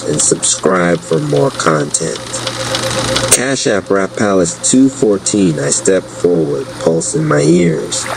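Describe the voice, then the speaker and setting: A man's voice: deep voice, noisy background. A man reading a scripted spoken-word story as an audio drama narrator.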